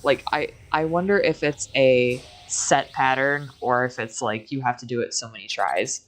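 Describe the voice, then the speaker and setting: A woman's voice: a bass voice, moderate pace. A woman chatting informally and casually while playing a game on a livestream.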